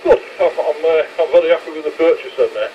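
Man, amateur radio chat, chummy, hesitant, dull radio transmission, clear background noise. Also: a little high, quick pace. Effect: heard through a two-way radio. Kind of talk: casual two-way radio chat. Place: outdoors, walking along a street. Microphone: handheld transceiver.

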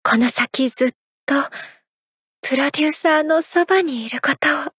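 A woman voicing a graceful, playful young female idol in a mobile game, speaking soft, intimate and affectionate lines. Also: high-pitched, moderate pace, no echo. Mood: tender. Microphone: studio mic.

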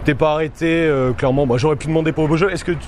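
A man's voice: a little high, fairly even intonation, rapid-fire, darkish tone. A man with a French accent in casual street chat, playful, slangy and informal. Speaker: dating coaching student. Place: outdoors on a city street. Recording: street noise with traffic.

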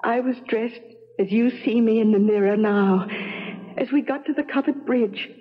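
A woman's voice: fairly high pitch, normal speed, natural voice. A woman playing a doomed, mournful ghost bride from the eighteenth century in a radio drama, with formal, old-fashioned phrasing. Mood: mournful, resolute. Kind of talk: 1970s radio drama acting. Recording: dull 1970s radio broadcast.

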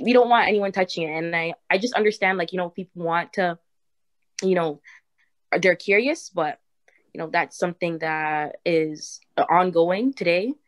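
A teenage girl student panelist speaking thoughtfully with many fillers on a video call. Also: lowish voice. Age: teens. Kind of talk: casual panel discussion. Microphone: webcam video call.

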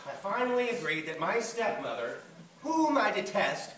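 A man's voice: high-pitched, sing-song, moderate pace, a bit bright, a little hiss or hum. A man acting a flustered bridegroom, echoey theatre. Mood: worn down, indignant. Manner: exasperated, dramatic, voicing both sides of quarrels. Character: flustered, frantic bridegroom venting about wedding invitations. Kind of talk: comic stage monologue. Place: theatre stage before an audience. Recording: echoey theatre, distant mic, audience laughter.

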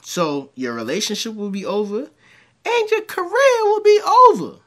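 A man's voice: high-pitched, moderate pace. A man, telling crude stories in a home-studio reaction commentary. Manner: animated, rambling storyteller with crude jokes. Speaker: commentary host. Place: dim home room with green light.

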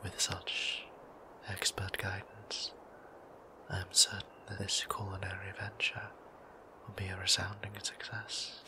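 A man's voice: deep voice, monotone, unhurried, rough recording. A man whispering as a courtly vampire priest. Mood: tender. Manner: whispered, courtly and old-fashioned politeness. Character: gentle, formal male vampire priest. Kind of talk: ASMR roleplay.

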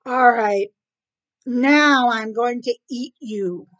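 Woman playing a demanding, drawn-out, high-voiced female cat. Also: expressive, muffled recording. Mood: hungry menace. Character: hungry, vain, menacing female cat in animal folktale. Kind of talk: oral folktale character voice.

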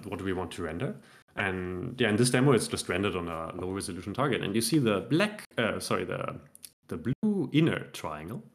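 A man in his thirties presenting a technical meetup talk from home over a video call, relaxed and self-deprecating, with lots of fillers and restarts as he demos software live. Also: on the low side.

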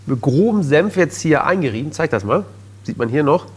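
A man's voice: a little high, lively intonation, fairly quick, slightly rough. A man in his thirties hosting a cooking show in a studio kitchen, chatty and jokey, explaining each step while he cooks. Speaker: TV chef and host. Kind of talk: casual cooking show chat.

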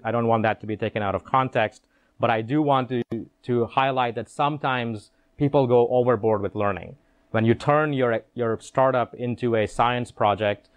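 Man giving a keynote on a handheld mic. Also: on the low side. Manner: explanatory, frequent restarts and repeated words. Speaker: keynote speaker. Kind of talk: conference keynote talk. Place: conference room with seated audience. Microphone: handheld microphone.

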